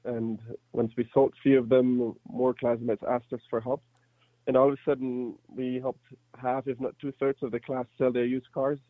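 A man's voice: medium pitch, little pitch movement, normal speed, no echo, phone-quality sound. A man in his thirties with a slight German accent, telling his story casually as an interview guest. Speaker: interview guest, startup entrepreneur.

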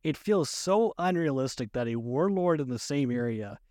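Halting, chuckling man chatting casually, close dry sound. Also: mid-range voice, lively intonation, a little mellow, no room sound. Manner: halting, repeats words as he goes, often chuckling. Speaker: podcast co-host. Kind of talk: casual movie chat.

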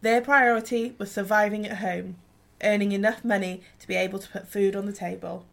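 A woman's voice: mid-range voice, fairly even intonation, brisk, creaky, a bit bright. A woman reading scripted narration for a historical radio play.

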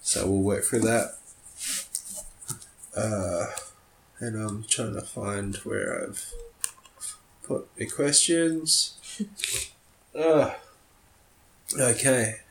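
A man chatting casually as a podcast co-host doing movie commentary, nasal and stuffed up with a cold, a little halting as he goes. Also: on the low side, slight background noise. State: sniffly with a cold. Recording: roomy echo, music behind.

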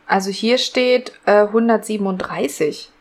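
A woman's voice: mid-range voice, unhurried. A woman in casual conversation as a participant on a podcast. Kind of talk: casual chat.

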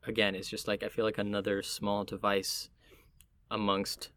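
A low-voiced man talks in a casual, reflective conversation, recorded close and dry. He halts often, searching for the right words as he thinks a point through. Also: monotone, normal speed, a bit bright, no echo. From Puerto Rican family, Miami.

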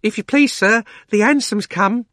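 A man voicing an excitable, deferential housemaid in a storybook. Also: sits high, moderate pace, dry recording, clean studio sound, pitched above the performer's own voice. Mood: thrilled. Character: excitable housemaid, a servant woman.

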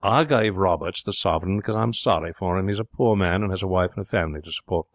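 A man voicing a stately, deferential old butler who is indulgent and quietly scheming in a storybook reading. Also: sits low, quick pace, no room sound, clean studio sound. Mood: smooth, scheming. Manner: courtly, indulgent, formal and deferential.

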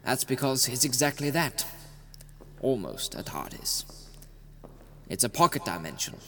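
Man acting a gravelly, swooping gentleman hero. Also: medium pitch, big pitch swings, a little slow, slight background noise, heavily acted. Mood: ominous. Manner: lordly, wry, patronising. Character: dandyish male scientist hero, lofty, wry, grave. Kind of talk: audio drama acting.

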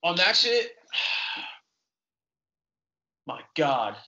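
A man's voice: a little high, big pitch swings, drawn-out words, vocal fry, sharp tone, muffled recording. A man hosting a podcast interview, talking loosely and swearing a lot as he rambles. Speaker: podcast host. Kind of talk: casual interview chat.

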